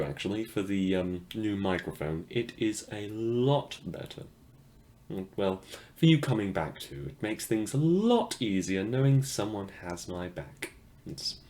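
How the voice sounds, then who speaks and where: A man playing a wry, awkward, self-deprecating small-time radio news host in a fiction podcast set after the apocalypse. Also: lowish voice. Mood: chatty, hesitant. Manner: rambling, self-deprecating, dryly sardonic.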